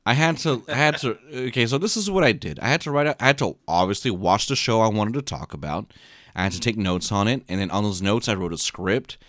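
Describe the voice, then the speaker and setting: A man's voice: lowish voice, expressive, fairly quick, a bit bright, dry recording, clean studio sound. A man co-hosting a podcast in casual chat, sleepy after a short night, rambling with many restarts and repeated words. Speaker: podcast co-host. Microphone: podcast mic.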